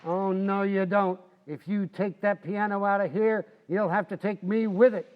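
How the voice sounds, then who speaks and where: An actor playing a blustering, stubborn, folksy husband in a 1940s-style radio comedy. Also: male voice, sits high, fairly even intonation, normal speed, highs cut off, natural voice. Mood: indignant.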